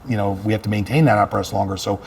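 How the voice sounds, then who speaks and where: A man with a low voice talks casually as an interview guest. He is earnest and conversational, often repeating a phrase to press it home. There is clear ambient noise behind him. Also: fairly even intonation, rapid-fire. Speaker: fire chief, interview guest. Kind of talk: casual interview talk about work.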